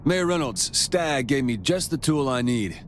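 Guy playing cocky gang boss, mid pitch, very lively, blunt swagger, game dialogue. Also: a little slow, slight background noise, close to an everyday voice. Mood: casual confidence. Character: brash, cocky, foul-mouthed young gang boss.

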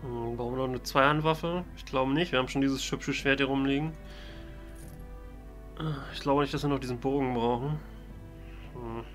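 A man's voice: medium pitch, steady tone, moderate pace, long pauses, faint music behind. A man doing casual, in-character let's play commentary, musing and thinking aloud. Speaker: let's player.

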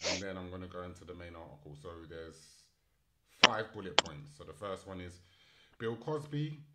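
A man with a low-pitched voice hosts a casual live stream. He speaks informally and unscripted, thinking aloud between bits of news. He sounds like he is running the show himself from a small studio setup. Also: steady tone, brisk, very noisy. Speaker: host of a live online news and chat show. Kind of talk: casual live stream chat and article reading.

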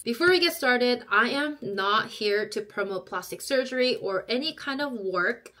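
A woman, talking to the camera in a chatty, expressive way from a small bedroom. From South Korea. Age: 30s. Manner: chatty and expressive, acts out quoted people in a high voice. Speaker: YouTube vlogger. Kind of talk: casual talk to camera.

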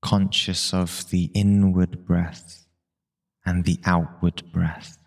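A man leading a guided meditation, giving calm spoken instructions in short, unhurried phrases with long pauses. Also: low voice, gravelly.

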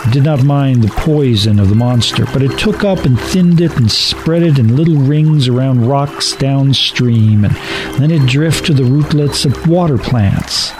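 A man's voice: mid-range voice, measured pace. Male radio storyteller over music. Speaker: narrator and radio show host. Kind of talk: dramatic story narration.

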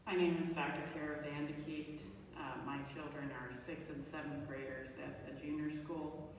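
Measured woman doctor, echoey hall. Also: low-pitched, flat delivery. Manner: measured, formal, matter-of-fact. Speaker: radiologist giving public comment. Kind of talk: reading a prepared public comment. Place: school board meeting hall. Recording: echoey hall, distant mic, faint hiss.

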